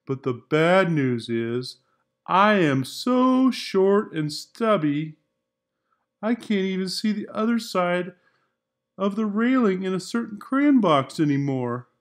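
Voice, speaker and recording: A man voicing a fond but worn-out, stubby blue crayon in a children's storybook, speaking in a drawn-out, weary way. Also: high voice, sing-song, exaggerated voice. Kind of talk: children's picture book read-aloud.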